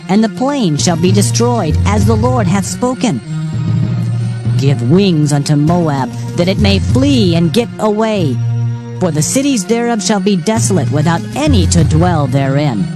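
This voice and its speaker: Female scripture reader, lowish pitch, highly animated solemn declamation over a clear music bed. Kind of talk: dramatized King James Bible reading.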